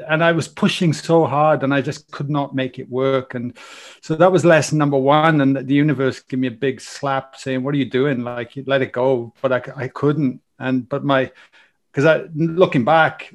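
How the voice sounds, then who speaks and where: A Welsh man in his forties talks through his life story as a podcast guest. His voice sits at an ordinary middle pitch, and he rambles along, restarting phrases as he goes. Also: moderate pace. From Wales. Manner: animated, rambling, many restarts. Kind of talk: casual storytelling interview.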